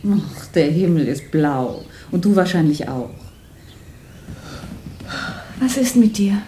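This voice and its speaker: A woman's voice: lowish voice, big pitch swings, moderate pace, mellow. An actress playing a weary, sceptical partner of a troubled man in a German radio play. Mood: sleepy, puzzled. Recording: roomy sound with faint noise.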